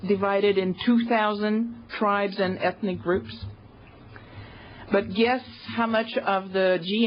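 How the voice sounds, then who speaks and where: Woman lecturing real slow, lots of uhs, muffled recording. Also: mid-range voice, a little hiss or hum. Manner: slow, hesitant, many pauses and fillers. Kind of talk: university lecture. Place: lecture room.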